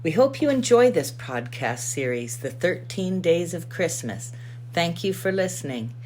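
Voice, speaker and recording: A woman with a slightly low voice reads aloud as a storyteller. Her intonation swings widely and expressively, over a faintly noisy recording. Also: very animated, a little slow, a little mellow. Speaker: story reader. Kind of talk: expressive storybook narration in rhyme.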